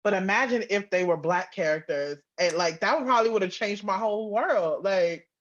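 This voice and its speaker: A woman's voice: medium pitch, brisk. A woman chatting casually as an interview guest, on slightly dull, lo-fi audio. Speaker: guest, audio drama writer and producer.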